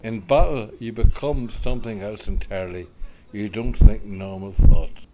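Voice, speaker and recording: A man playing an elderly war veteran grandfather in a radio drama, sombre and bitter, speaking in a grave, reflective, deliberate way. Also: on the low side, fairly even intonation, very noisy, lightly acted. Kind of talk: amateur radio drama monologue.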